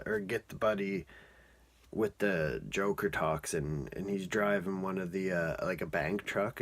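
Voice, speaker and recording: Low-pitched man giving a casual review. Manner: rambling, informal, amused. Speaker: cartoon reviewer. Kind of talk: casual episode recap and review.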